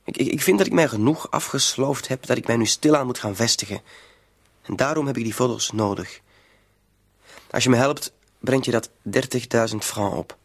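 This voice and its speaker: A man plays a shady hustler in a radio drama. His mid-pitched voice has a gravelly creak, and he talks in a coaxing, insinuating way, hesitating now and then, with quiet menace underneath. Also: moderate pace, natural voice. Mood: persuading. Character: sly shady small-time crook, coaxing, quietly threatening. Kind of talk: Dutch-language radio play acting.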